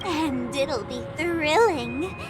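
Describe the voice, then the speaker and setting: A woman's voice: sits high, animated, very slow, full character voice. A woman playing a mocking, mischievous young trickster in a video game, teasing and theatrical. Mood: playful menace. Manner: teasing, mock-hurt, theatrical. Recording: loud music over voice.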